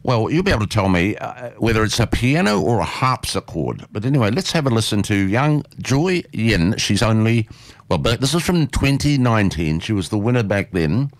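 Male radio host, lowish voice, animated delivery, dry studio sound. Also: normal speed, no room sound. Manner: chatty, repeats words, animated. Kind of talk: casual radio interview. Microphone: studio mic.

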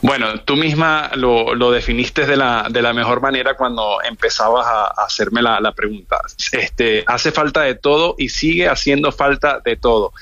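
A man with a Venezuelan accent giving an unscripted live news report, halting and repeating words as he searches for phrasing. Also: mid-range voice, pushed and bright, dry recording. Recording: dull, narrow-band remote audio link.

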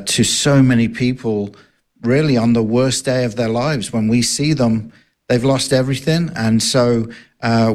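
A man's voice: mid-range voice, a little mellow. Earnest accented man on radio. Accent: accent not native to the area. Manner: earnest, with frequent fillers and restarts. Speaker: radio guest, ministry director. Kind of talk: casual radio interview.

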